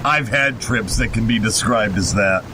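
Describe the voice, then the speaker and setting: A man co-hosting a podcast with casual joking banter and dry, absurd humour. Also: normal speed. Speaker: podcast co-host. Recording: noisy recording with low rumble.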